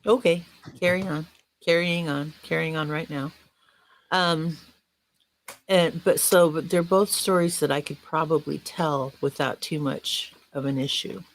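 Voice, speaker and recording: A woman's voice: low voice, fairly even intonation, a little slow, slight background noise, low-quality recording. A woman chatting casually on a podcast, self-effacing and easygoing in how she talks with the others. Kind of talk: casual chat.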